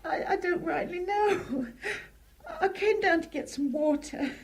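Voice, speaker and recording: A young housemaid breathlessly recounts her ordeal in a radio play. Her voice is very high and shaken. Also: animated, a little slow, exaggerated voice. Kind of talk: 1970s radio drama acting.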